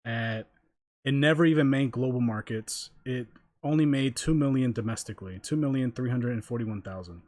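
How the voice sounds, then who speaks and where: A man hosting a casual group discussion, reading out details in a measured way. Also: mid-range voice, darkish tone, dry recording, clean studio sound. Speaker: podcast host.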